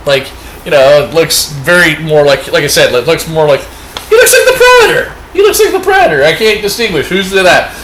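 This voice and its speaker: Brief: upbeat Canadian male comic reviewer, bright slightly high voice, rambling casual show-and-tell. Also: a little hiss or hum. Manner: rambling and upbeat, with many fillers. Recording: echoey room.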